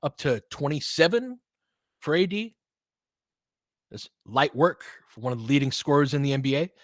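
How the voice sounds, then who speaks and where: A man's voice: a little high, animated, normal speed, dry recording, studio quality. Man doing casual, partisan basketball play-by-play. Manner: informal, partisan, reacts openly to plays. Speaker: play-by-play commentator and host. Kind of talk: casual fan-style live basketball commentary.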